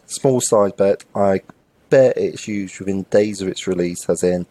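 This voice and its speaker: A man's voice: deep voice, unhurried, dark tone. A man co-hosting a podcast with casual, playful banter. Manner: playful, jokey. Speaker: podcast co-host.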